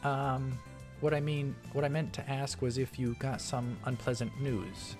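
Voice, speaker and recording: A man voicing a breezy, teasing young woman in a visual novel, speaking in a light, halting, casual way. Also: medium pitch, fairly even intonation, over music, voice pushed up, lightly acted. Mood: hesitant.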